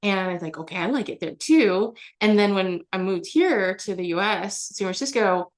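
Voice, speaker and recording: A woman's voice: medium pitch, animated, normal speed. A young adult woman from Germany, a guest on an interview show, answering in a chatty way with many fillers and restarts. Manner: chatty, frequent fillers and restarts, laughs often.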